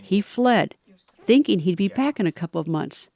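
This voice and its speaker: A woman's voice: medium pitch, animated, moderate pace, creaky, darkish tone, dry recording. Woman narrating a documentary over music. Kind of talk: scripted narration.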